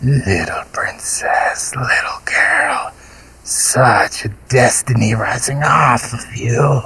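A man voicing a hunched, rotten male monster who wheezes and whispers with slow gloating menace. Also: mid-range voice, sharp tone, breathy edge, exaggerated voice. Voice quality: whispery, drawn-out hissing.

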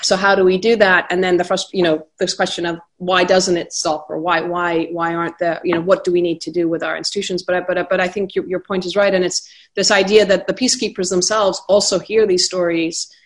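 A woman's voice: on the low side, flat delivery, a little fast. A woman moderating a panel discussion, talking with many hesitant restarts.

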